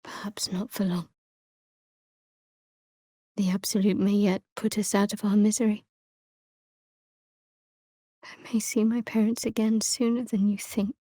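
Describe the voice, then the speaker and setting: A woman voicing a guarded, dry-witted young female cleric in a fantasy video game, measured and wry. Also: mid-range voice, level tone, dark tone, no echo, natural voice. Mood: hushed.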